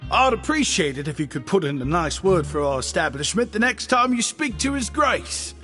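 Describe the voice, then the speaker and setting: An actor playing a genial, easygoing shopkeeper in a video game, breezy, courteous and a little ingratiating. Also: male voice, high-pitched, sing-song, moderate pace, bright, forceful tone, faint music behind, full character voice. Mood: generous, persuasive. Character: genial, easygoing male shopkeeper, flattering and generous. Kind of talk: video game character voice acting.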